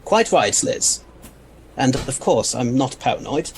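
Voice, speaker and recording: Gravelly eccentric alien scientist, proud, courteous, wordy, audio drama, faint background noise. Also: male voice, medium pitch, sing-song, a little slow. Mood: proud, stubborn. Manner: courteous, formal, verbose.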